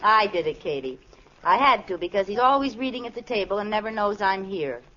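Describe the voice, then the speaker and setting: An actress playing a playful, catty housewife in a 1940s radio comedy, teasing and sarcastic. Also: female voice, medium pitch, expressive, fairly quick, lightly acted. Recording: muffled old radio recording, heavy noise, audience.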